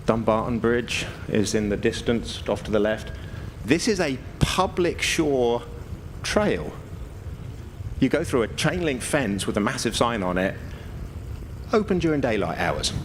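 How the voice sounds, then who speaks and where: A man's voice: medium pitch, expressive, normal speed, slight background noise. A man giving an informal conference presentation, explaining things conversationally with dry, understated humor. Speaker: conference speaker, GIS mapping consultant.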